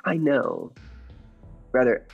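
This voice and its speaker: An actor playing a timid, henpecked little husband in an old-time radio comedy, stammering and fussily polite. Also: male voice, medium pitch, slow pace, slightly rough. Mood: earnest, agitated. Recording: dull lo-fi audio with music behind.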